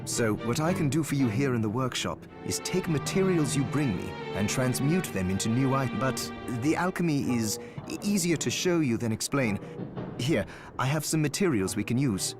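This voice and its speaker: A man's voice: mid-range voice, expressive, moderate pace, close to an everyday voice. A man playing an earnest, caring alchemist in a video game. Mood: eager, explaining. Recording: music behind the voice.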